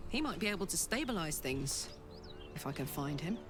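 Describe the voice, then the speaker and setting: Casting: brash, hot-blooded female game warrior, low voice, blunt swagger, music underneath. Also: big pitch swings, moderate pace. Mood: hopeful, frank. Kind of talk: video game dialogue acting. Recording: game dialogue with music behind.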